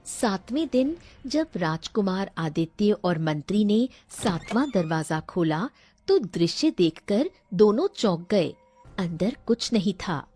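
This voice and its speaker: A woman's voice: on the low side, darkish tone, no room sound. A woman narrating a scripted fairy tale for a cartoon as a storyteller.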